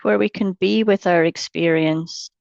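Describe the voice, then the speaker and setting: Woman doing a guided meditation, really slow and gentle, lots of pauses, kinda muffled dry sound. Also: lowish voice, steady tone, gravelly, dry recording. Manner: gentle, unhurried, with long pauses between short phrases. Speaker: meditation teacher.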